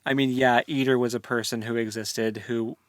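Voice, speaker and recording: A man's voice: medium pitch, level tone, moderate pace, no echo. A man co-hosting a podcast, talking casually and informally about a book with opinionated commentary. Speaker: podcast co-host. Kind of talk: casual book discussion chat.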